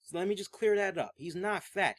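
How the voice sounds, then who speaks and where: A young man talks wrestling in a casual, chatty way. He hedges his opinions and fills his sentences with "like" and "you know." His voice sits on the high side for a man. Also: a little fast, a bit bright. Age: young adult. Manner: chatty and hedging, with many fillers. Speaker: wrestling show host. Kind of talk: casual commentary from an older episode.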